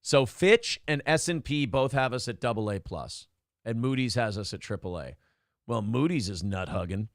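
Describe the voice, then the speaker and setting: Gravelly brash male talk show host. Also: medium pitch, lively intonation, normal speed, dry recording, studio quality. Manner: brash, profane, reads viewer donations aloud. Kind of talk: casual political talk show banter.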